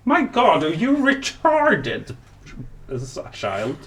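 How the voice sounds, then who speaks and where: A man playing a cold, haughty noblewoman in a tabletop game, imperious and curt, with halting delivery. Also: fairly high pitch, wildly swooping pitch, fairly bright tone, voice pushed up, full character voice. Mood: exasperated. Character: haughty, impatient Regency noblewoman, cold and commanding mother. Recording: faint background noise.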